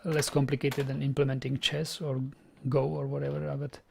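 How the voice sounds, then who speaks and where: A man's voice: medium pitch, level tone, normal speed, creaky, slight background noise. A young man with a Czech accent, chatting casually on a programming stream, often repeating words as he thinks aloud. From Czech Republic. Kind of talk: casual live-stream chat.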